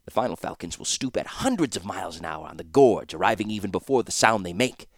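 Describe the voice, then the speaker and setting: A man's voice: sits low, animated, quick pace, dry recording, studio quality. A man voicing an older male master artificer, amused and obsessed, speaking in a lofty, lecturing way.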